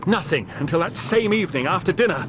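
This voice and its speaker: A distraught young English gentleman in a radio play. His upper-class British voice sits high and sounds agitated and well-bred. There is noticeable noise behind him. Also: lively intonation, fast. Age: young adult. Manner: agitated, hesitant, well-spoken. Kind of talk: radio drama acting.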